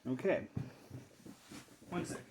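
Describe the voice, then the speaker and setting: A man's voice: slow pace, darkish tone, low-quality recording. A man giving casual, unscripted commentary as a hobbyist repairer, thinking aloud to himself as he works. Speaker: hobbyist repairer presenting a video.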